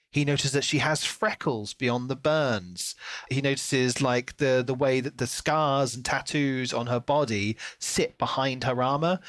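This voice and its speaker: A man's voice: mid-range voice, a bit bright, dry recording. A man co-hosting a podcast, chatting informally and thinking aloud as he discusses a book. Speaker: podcast co-host.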